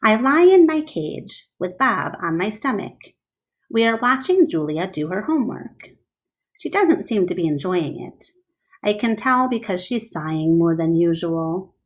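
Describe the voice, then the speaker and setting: Slow, animated woman reading aloud. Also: mid-range voice. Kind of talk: expressive storybook read-aloud with asides to listeners.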